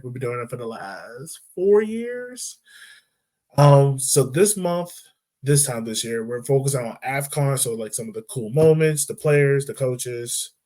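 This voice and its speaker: Laid-back man hosting a soccer podcast. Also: medium pitch. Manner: relaxed, loose, lots of fillers like "um" and "like". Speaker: podcast host. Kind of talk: casual soccer podcast chat.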